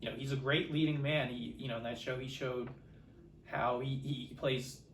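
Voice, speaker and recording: A man's voice: medium pitch, steady tone, normal speed, a bit bright, distant mic. A man co-hosting a podcast, giving rambling, casual opinions on films. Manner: rambling, peppered with "like" and "you know". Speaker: podcast co-host. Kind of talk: casual film discussion. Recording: echoey room, off-mic, faint noise.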